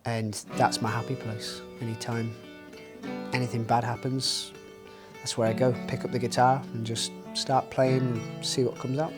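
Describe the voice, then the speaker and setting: A young man, a singer-songwriter speaking softly and reflectively in a documentary interview. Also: medium pitch, level tone, moderate pace. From Northwest England. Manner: soft-spoken, reflective, grieving. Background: guitar music.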